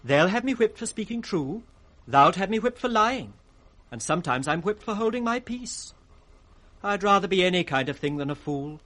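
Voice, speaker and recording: An actor playing a witty, impudent court fool in radio Shakespeare, with a sing-song, riddling delivery. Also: male voice, high voice, animated, normal speed, sharp tone. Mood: teasing. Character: witty, mocking court fool, teasing the king. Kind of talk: 1960s British radio Shakespeare acting, with sung rhymes. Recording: vintage 1960s radio drama recording.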